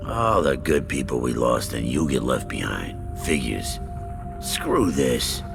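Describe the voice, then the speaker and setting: Surly male colonist: extremely deep gravel, wildly swinging intonation, scornful game drama. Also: big pitch swings, takes it slowly, music in the background, full character voice. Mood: bitter, disgusted. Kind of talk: dramatic video game dialogue.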